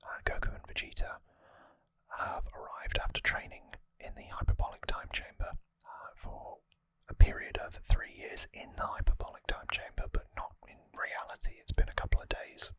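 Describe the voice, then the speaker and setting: An ASMR host speaking entirely in a breathy whisper close to the microphone, softly and informally explaining a story recap. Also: moderate pace, rough recording. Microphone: close microphone, dry sound.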